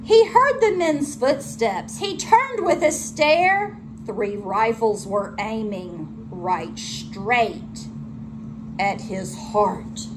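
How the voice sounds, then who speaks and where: A woman narrating a children's storybook aloud in an expressive teacher's read-aloud style. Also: a little high, very slow, noisy background. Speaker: elementary school teacher reading aloud.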